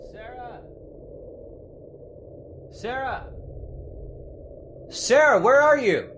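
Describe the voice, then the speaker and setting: A man's voice: very high-pitched, animated, very slow, heavy background noise, heavily acted. A man playing a worried, skeptical, protective husband in an old-time radio horror drama, fretful and dramatic. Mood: anxious. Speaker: voice actor.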